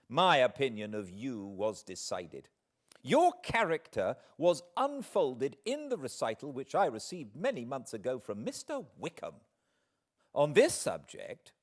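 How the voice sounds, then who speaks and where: A man voicing a spirited, indignant young English gentlewoman with an emphatic, articulate, accusing delivery in an audiobook. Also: mid-range voice, pitched above the performer's own voice. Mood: angry, challenging. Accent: British.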